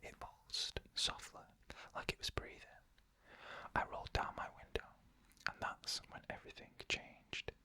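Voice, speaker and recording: Bright hushed whisper, slow eerie narration. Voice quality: unvoiced whisper. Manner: slow, hushed, eerie delivery in short clipped phrases. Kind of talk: whispered ASMR story narration.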